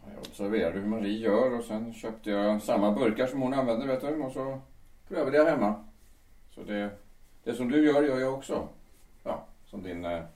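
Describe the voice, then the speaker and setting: A man playing a calm, sly man who quietly follows another like his shadow, in an audio drama. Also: medium pitch, recorded from a distance, lightly acted. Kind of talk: amateur audio drama acting. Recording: roomy, from off-mic.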